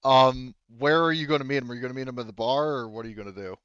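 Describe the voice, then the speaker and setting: A man's voice: mid-range voice, racing, fairly bright tone, clean tone, no room sound, lo-fi sound. A man running a tabletop game as game master, talking casually and rambling as he searches for words.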